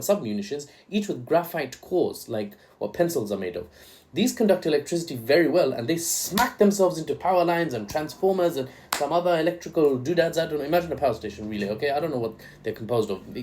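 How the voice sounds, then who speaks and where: A man's voice: medium pitch, a little mellow, slight background noise. Joking young man commenting on news. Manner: joking, irreverent asides.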